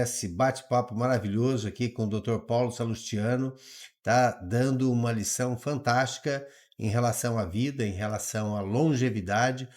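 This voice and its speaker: A man's voice: mid-range voice, a little mellow. A male podcast host who introduces segments with enthusiastic praise and hesitates as he frames his questions. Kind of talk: podcast interview hosting.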